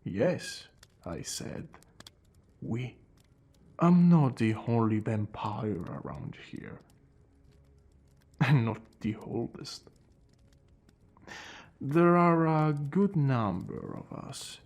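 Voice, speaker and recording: A man playing a courteous, calm and wry centuries-old vampire in an ASMR roleplay, speaking in a hushed voice that drifts into whispers with theatrical pauses. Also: mid-range voice, heavily acted. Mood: explaining, guarded. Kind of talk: ASMR roleplay addressed to the listener.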